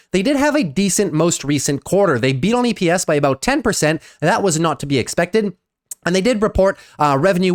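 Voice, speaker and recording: A man with a fairly high voice runs through the day's stock market recap. He has a cold and sounds a little congested. His delivery is upbeat and brisk, and he keeps calling his viewers "guys.". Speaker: stock market video host. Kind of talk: scripted market recap commentary.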